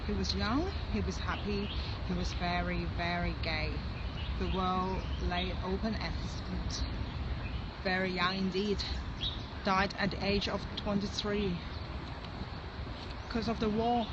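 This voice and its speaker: Cantonese-native woman on a walking livestream, unhurried and hesitant, recorded on a muffled phone outdoors. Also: medium pitch, level tone. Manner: hesitant, thinking aloud, reading inscriptions. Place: outdoor cemetery in a city. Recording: dull lo-fi phone audio with loud street noise.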